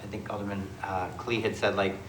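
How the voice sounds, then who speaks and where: A man chairing a city committee meeting, with a gravelly voice and an informal, thinking-aloud way of asking questions. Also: on the low side, level tone, a little fast, echoey room, a little hiss or hum.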